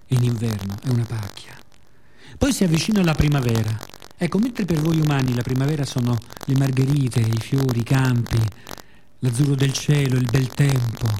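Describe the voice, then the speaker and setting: A man as the playful, boastful, mellow sea. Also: mid-range voice, slight background noise, lightly acted. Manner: playful, musing, drawn-out words, sound imitations. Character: the sea, playful boastful old-as-nature being, mocking humans.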